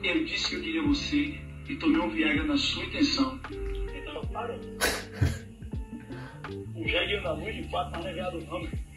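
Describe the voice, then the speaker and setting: A man's voice: high voice, big pitch swings, moderate pace, pauses often. A man with a Brazilian accent talking bluntly and crudely in rowdy, unscripted reality TV style. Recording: echoey, distant TV playback with music.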